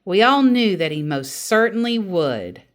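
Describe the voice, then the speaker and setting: A woman reading a children's story aloud as an animated storyteller, acting out the dialogue expressively. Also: medium pitch, measured pace. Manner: acts out quoted dialogue expressively.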